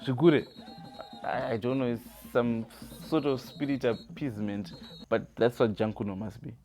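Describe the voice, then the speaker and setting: A man from Zimbabwe with a Zimbabwean accent, chatting casually and thinking aloud in halting, repeated phrases. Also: medium pitch, loud music behind the voice. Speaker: quiz contestant on a family vlog. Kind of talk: casual chat.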